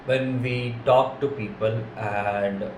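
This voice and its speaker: A man with an Indian English accent and Hindi as his first language, practicing English phrases in a halting, casual vlog full of fillers and self-corrections. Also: mid-range voice, steady tone, roomy sound, noisy background.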